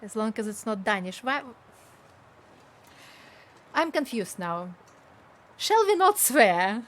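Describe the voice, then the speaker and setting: Slow-talking older woman streamer. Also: a little high, very animated, a bit bright. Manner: chatty, talks to viewers, laughs often. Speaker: game streamer. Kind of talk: casual live-stream chat while gaming.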